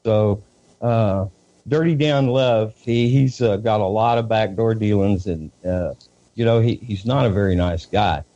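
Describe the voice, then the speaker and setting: Halting Southern man, low mellow voice. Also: highs cut off. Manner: halting, many fillers and repeated words. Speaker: independent news reporter, guest. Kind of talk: casual interview talk.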